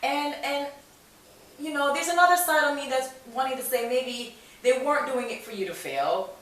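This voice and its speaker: A woman's voice: high voice, normal speed, a bit bright. A woman hosting a conversational podcast interview, speaking in an encouraging, affirming way. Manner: encouraging, affirming, explains with rhetorical questions. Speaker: talk show and podcast host. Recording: echoey, distant mic, faint hiss.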